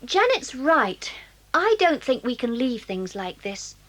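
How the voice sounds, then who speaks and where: A high-voiced, decisive English schoolgirl who takes charge in a children's audio drama. Her tone is stern and bossy, with very animated intonation. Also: exaggerated voice. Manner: brisk, decisive.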